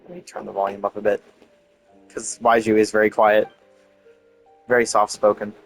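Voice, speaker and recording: Soft-spoken man rambling on a game stream. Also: on the low side, flat delivery, normal speed, faint music behind, poor audio. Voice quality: soft-spoken but projecting. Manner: rambling, thinking aloud. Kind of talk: casual live-stream commentary.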